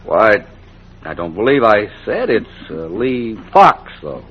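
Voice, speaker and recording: An actor playing a shifty, nervous cowboy drifter, hesitant and evasive, in a radio Western. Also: male voice, on the low side, sing-song, slow pace, slightly rough, exaggerated voice. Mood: guarded. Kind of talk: old-time radio Western acting. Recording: muffled old radio broadcast.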